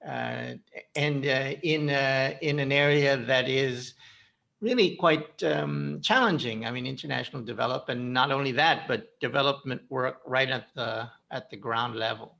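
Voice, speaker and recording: A man hosting a conversational interview, halting and repeating words as he forms his questions. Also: mid-range voice, gravelly, bright, forceful tone. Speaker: interviewer, podcast host.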